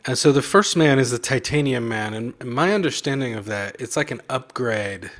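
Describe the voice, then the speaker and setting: A man with a medium-pitched voice hosts a casual conversation. He talks loosely and informally, with a joking, self-deprecating manner. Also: normal speed, fairly bright tone. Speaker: host of a chat show. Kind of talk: casual video chat interview.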